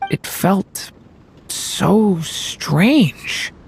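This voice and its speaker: An actor playing a gentle, earnest young dragon boy in human form in an anime game, soft-spoken, thoughtful and hesitant. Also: mid-range voice, lively intonation, extremely slow, gravelly, a little hiss or hum, exaggerated voice, low-quality recording. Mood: shaken, relieved.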